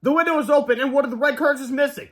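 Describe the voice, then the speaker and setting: A man voicing an excitable schoolboy prankster from a children's storybook, exclaiming dramatically. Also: high-pitched, normal speed. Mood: worried.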